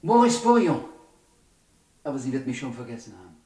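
Man playing flustered polite lovesick guy, old radio play, halting talk, echoey room. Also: mid-range voice, very animated, mellow, natural voice. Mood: downcast. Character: hesitant, lovesick young suitor, polite drifter heading for the provinces. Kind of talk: 1960s German radio play acting. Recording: roomy 1960s radio studio recording.

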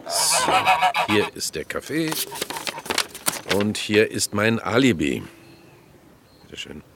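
Give this radio crime drama a resentful, bigoted man with a low voice and very lively intonation. He sounds smug and condescending as he complains, with clear birdsong behind him. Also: slow pace, heavy background noise, exaggerated voice. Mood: smug, complaining. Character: bigoted, resentful male IT worker under police questioning. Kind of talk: naturalistic German radio crime drama acting.

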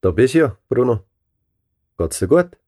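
Man playing a mellow, caring Swiss father. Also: medium pitch, big pitch swings, moderate pace. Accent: Swiss German. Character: caring father checking gently on his son. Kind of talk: children's audio story acting.

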